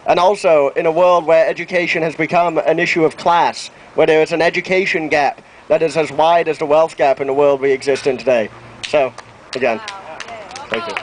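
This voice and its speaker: A young man with a slightly high voice speaks informally to the public outdoors. His delivery is halting as he searches for words. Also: steady tone, a little hiss or hum, low-quality recording. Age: young adult. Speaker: student activist speaker. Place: outdoor town plaza.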